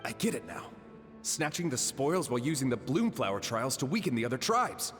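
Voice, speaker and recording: An animated male voice of middling pitch plays an indignant warrior in a fantasy game. He makes demands and accusations forcefully. Long reverb surrounds him, with music underneath. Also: fairly quick. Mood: bitter realization. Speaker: voice actor in a video game. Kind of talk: dramatic game dialogue.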